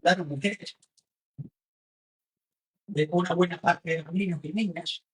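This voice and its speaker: Man, husky and fairly high, rhetorical political discussion style. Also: steady tone, a little slow. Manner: rhetorical, poses questions to make points.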